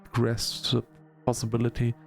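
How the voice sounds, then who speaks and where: A man hosting a gaming video, reading story text aloud in a halting, measured storytelling delivery. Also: medium pitch, monotone, vocal fry, faint music behind. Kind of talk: let's-play narration, reading game text aloud.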